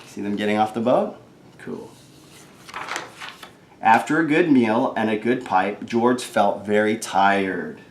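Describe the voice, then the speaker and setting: A man reading a children's picture book aloud in an animated way, adding informal, chatty asides about the pictures. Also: lowish voice, slow, pauses often, a little hiss or hum. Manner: informal, chatty commentary on the pictures between read lines. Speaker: storybook reader. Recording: roomy, echoing sound.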